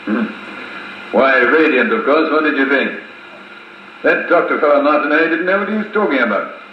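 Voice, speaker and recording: Echoey old radio actor as gentlemanly colonel storyteller. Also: male voice, mid-range voice, animated, moderate pace, natural voice. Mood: brisk. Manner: clipped, old-fashioned gentlemanly phrasing. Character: older colonel storyteller, brisk, clubbable, discreet gentleman. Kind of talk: 1950s radio drama narration and dialogue. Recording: muffled, echoey, hissy 1950s wire recording.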